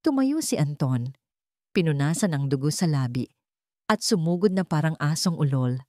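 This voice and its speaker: A woman's voice: low voice, lively intonation, moderate pace, darkish tone, dry recording, studio quality. A woman reading a scripted romantic drama as a storyteller, in dramatic narration.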